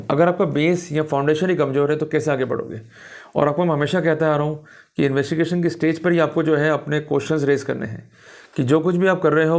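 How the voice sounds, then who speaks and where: An Indian man giving a didactic, explanatory law lecture, deliberately explaining points as a teacher does. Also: fairly high pitch, creaky. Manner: didactic, often checks that listeners follow. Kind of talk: explanatory lecture mixing Hindi commentary with English read-outs.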